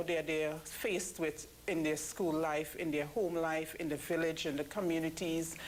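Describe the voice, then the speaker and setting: A woman's voice: fairly low pitch, rough recording. A woman giving explanatory answers as an interview guest. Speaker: interview guest, church committee member. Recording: clear background noise.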